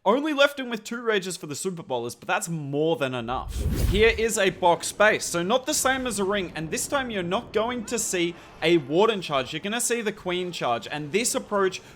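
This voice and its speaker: Male game commentator, slightly raised pitch, voice a touch worn, upbeat explainer; light background hiss. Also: a bit bright. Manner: enthusiastic, conversational, points things out to viewers. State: slightly hoarse voice. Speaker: gameplay commentator. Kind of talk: strategy commentary over gameplay footage.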